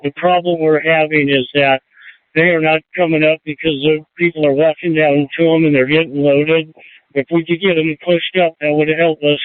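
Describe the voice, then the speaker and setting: A man's voice: a little high, little pitch movement, normal speed, no room sound. A man working as an event command officer, giving operational instructions and updates over a two-way police radio. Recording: tinny narrowband police radio channel.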